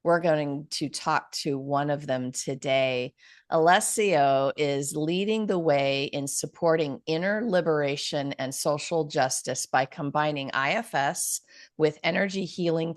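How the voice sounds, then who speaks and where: A woman's voice: deep voice, measured pace. A woman hosting an interview as head of a publishing company, upbeat and full of gratitude. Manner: upbeat, grateful, with fillers. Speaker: interview host, publishing CEO.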